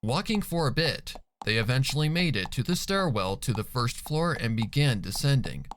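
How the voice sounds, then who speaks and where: A man's voice: medium pitch, sing-song, moderate pace, a little hiss or hum. A man reading a scripted story as a dramatic narrator. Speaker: fan fiction reader and narrator.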